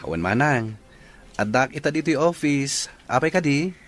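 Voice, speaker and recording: A man's voice: medium pitch, lively intonation, moderate pace, close to an everyday voice. Mid-range male actor as dutiful, concerned younger brother in radio drama. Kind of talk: Ilocano radio drama acting.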